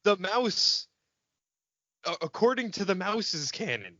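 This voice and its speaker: A man's voice: high-pitched, takes it slowly, dry recording, muffled recording. A man co-hosting a fan podcast, bantering casually and picking at details with some swearing. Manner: nitpicky, sweary, animated. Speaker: podcast co-host.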